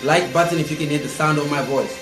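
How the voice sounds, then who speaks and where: A man in his thirties hosting a live political commentary stream, slightly hoarse, chatty and speaking straight to viewers. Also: fairly high pitch, fast, over music. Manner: chatty, addresses viewers directly, repeats calls. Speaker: host of an online political show. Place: indoor room, seated at a table. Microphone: fixed camera, mid-distance. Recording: roomy echo.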